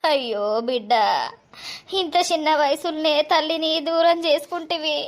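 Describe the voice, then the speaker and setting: A woman's voice: high voice, normal speed, close to an everyday voice. A woman playing a kind, motherly village aunt who soothes a crying girl, with a Telangana Telugu accent. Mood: soothing. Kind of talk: amateur village drama acting.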